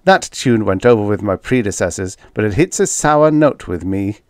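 Man playing a blunt, sardonic diplomat with animated delivery. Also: lowish voice, moderate pace, no room sound, clean studio sound, natural voice. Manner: snapping, pressing, sardonic. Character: tough, sarcastic male diplomat, blunt and accusing. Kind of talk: audiobook character dialogue.

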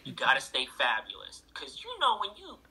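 A man in middle age with a sharp, very bright voice at an ordinary pitch. He talks like a natural storyteller in a casual interview, stressing and repeating his phrases. The sound is that of a video call played from a laptop. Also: wildly swooping pitch, normal speed. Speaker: interviewed author and thru-hiker. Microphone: video call played through a laptop speaker.

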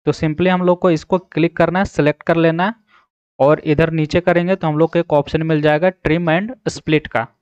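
Male tutorial narrator, smooth voice on the high side, instructional with frequent check-ins, dull close recording. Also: flat delivery, normal speed, a little mellow, no room sound. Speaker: tutorial host. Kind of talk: step-by-step app tutorial narration. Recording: slightly dull, dry voice-over.

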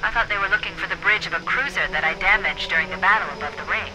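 A woman playing a self-assured, analytical female artificial intelligence in a sci-fi video game, speaking briskly and articulately. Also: on the low side, noisy background, lightly acted. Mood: grave, analytical. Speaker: military AI adviser. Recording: loud music behind the voice.

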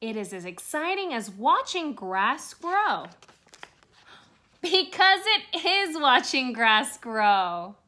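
A woman reads a picture book for young children. Her voice is high and bright and swings widely as she acts out each line, taking her time with exaggerated expression. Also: very animated, slow pace. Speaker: early childhood storybook reader. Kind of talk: animated children's picture book read-aloud.

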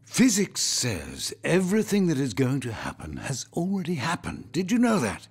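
An excitable, high-pitched professor. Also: male voice, breathy edge, heavily acted. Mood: subdued, reflective. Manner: halting, repeats himself, emphatic. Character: anguished, excitable male professor and protective father. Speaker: actor. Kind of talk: audio drama acting.

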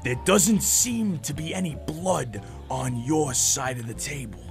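An actor playing a self-assured, menacing mob boss in a radio noir drama, speaking with deliberate threat. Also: male voice, fairly high pitch, vocal fry, music in the background. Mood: smooth menace.

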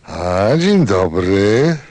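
A man's voice: low-pitched, big pitch swings, drawn-out words, a little mellow, full character voice. Swooping, theatrical merchant acted by a man. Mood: eager, salesman-like. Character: eager, salesman-like merchant of thirst pills. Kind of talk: audio play acting.

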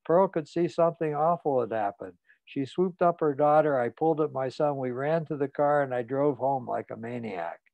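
A man's voice: mid-range voice, gravelly, dry recording, phone-quality sound, natural voice. A man reading an audiobook, voicing a frightened, ashamed man who speaks in a muted voice. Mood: shamed. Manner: muted, halting, confessional. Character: ashamed, frightened middle-aged man confessing.

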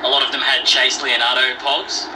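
A man's voice: medium pitch, flat delivery, moderate pace. An Australian man leaving a casual voice message with easygoing "uh" and "um" fillers. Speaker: listener sending a voice message. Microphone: phone. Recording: phone voice message played back from a distance, noisy.

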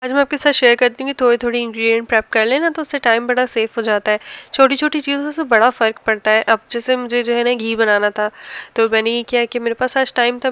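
A woman's voice: a little high, a bit bright, smooth, no echo. A woman in her thirties with a Pakistani accent, narrating a casual home vlog in a chatty, explanatory way. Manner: chatty, explanatory, homely everyday talk. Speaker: home cooking and family vlogger.

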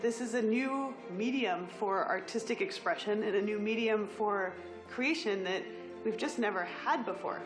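A woman's voice: mid-range voice, moderate pace. A woman speaking as a developer in a promotional interview testimonial. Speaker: technology developer. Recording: roomy sound with music bed. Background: music.

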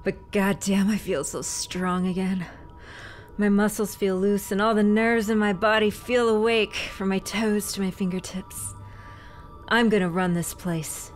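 A woman's voice: medium pitch, steady tone, a little slow, faint music behind, natural voice. A woman playing a fierce, resentful young witch, speaking an intense inner monologue with bursts of anger. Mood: determined. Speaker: lead character. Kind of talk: audio drama acting.

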